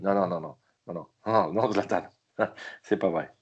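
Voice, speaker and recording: A man guesting as a football commentator in a casual chat, retelling moments with animated, repetitive enthusiasm. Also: low-pitched, unhurried, slightly rough. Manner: animated, repeats words as he thinks. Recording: dull lo-fi remote line.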